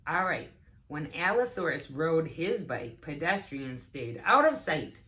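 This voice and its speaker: A woman's voice: deep voice, big pitch swings, measured pace, bright, forceful tone. A woman teaching assistant reading a children's storybook aloud and explaining it in simple, instructive phrases.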